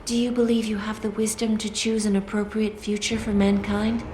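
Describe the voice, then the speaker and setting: A woman playing a calm, poised artificial newsreader in a video game, speaking formally and deliberately. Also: mid-range voice, background noise, lightly acted. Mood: calm, grave. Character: calm, poised female AI construct, a news reporter. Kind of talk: video game voice acting, measured and portentous. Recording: roomy echo, loud music behind.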